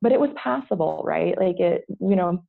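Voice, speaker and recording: American woman, creaky and slightly husky. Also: fairly low pitch, expressive, normal speed, phone-quality sound. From United States. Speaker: podcast guest. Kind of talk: candid personal storytelling in an interview. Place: at home, remote podcast call.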